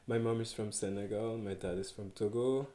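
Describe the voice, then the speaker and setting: A man's voice: on the low side, steady tone, normal speed, mellow. Hesitant French-accented man in echoey room. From France, Paris. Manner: hesitant, many fillers and restarts, laughs often. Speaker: textile artist, guest. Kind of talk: casual conversation. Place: small farmhouse room, indoors.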